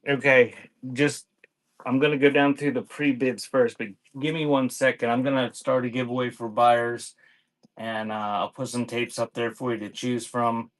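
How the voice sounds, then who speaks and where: A man's voice: medium pitch, little pitch movement, moderate pace, poor audio. A man hosting a live online sale, chatting informally and thinking aloud, often trailing off mid-sentence. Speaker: live online auction host selling cassette tapes. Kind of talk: casual rambling livestream chat.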